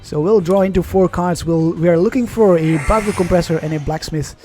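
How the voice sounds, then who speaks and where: A man's voice: sits high, steady tone, brisk, mellow, faint music behind. A young man whose first language is Dutch, casually commenting on an online card game in a chatty, rambling way, often correcting himself. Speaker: gaming channel host.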